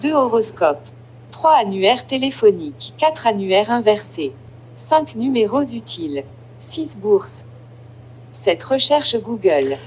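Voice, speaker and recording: A synthetic female device voice reading out menu items in brisk, clipped phrases. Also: medium pitch, big pitch swings, darkish tone, a little hiss or hum, rough recording. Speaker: device voice assistant.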